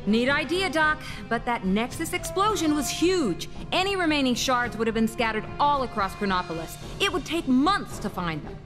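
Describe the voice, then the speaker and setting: A practical, sharp superheroine from an animated game. Her voice is high, with loud music underneath. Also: normal speed, few pauses. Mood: concerned. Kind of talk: animated game cutscene acting.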